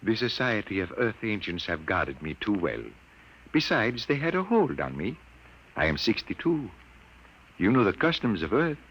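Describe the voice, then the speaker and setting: A man's voice: lowish voice, sing-song, normal speed, a bit bright, a little hiss or hum. A man acting a grave, troubled scientist of sixty-two in a 1950s radio drama. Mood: resigned. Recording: muffled old radio broadcast.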